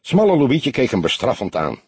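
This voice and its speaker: A man's voice: mid-range voice, wildly swooping pitch, normal speed, husky, dry recording. A man reading a story aloud as an audiobook narrator, in scripted narration.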